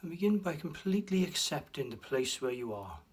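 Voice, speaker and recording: A man guiding a relaxation meditation, speaking slowly and softly with long pauses and a breathy hush at times. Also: on the high side, sing-song. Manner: slow, soft and soothing, with long pauses. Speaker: meditation guide. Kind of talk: guided meditation.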